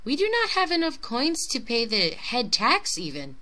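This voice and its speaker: A woman's voice: a little high, huge pitch swings, normal speed, bright, forceful tone, voice pushed up, exaggerated voice. A woman voicing a kind, generous village mother in a children's storybook, reassuring and plain-spoken. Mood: doubtful. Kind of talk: children's storybook character reading.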